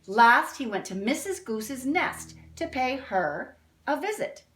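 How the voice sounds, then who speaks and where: A woman reading a picture book aloud to young children like a teacher, expressively, pausing to explain things. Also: high voice, sing-song, a bit bright, a little hiss or hum. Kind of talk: read-aloud storytelling with asides to children. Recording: roomy indoor echo.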